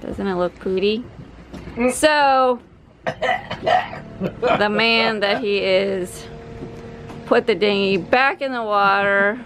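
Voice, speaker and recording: A woman's voice: medium pitch, extremely slow, long pauses, crowd noise, low-quality recording. A woman in her forties hosting a sailing vlog on a catamaran, teasing and upbeat, talking into a handheld camera. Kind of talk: casual vlog narration. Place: on a catamaran at sea.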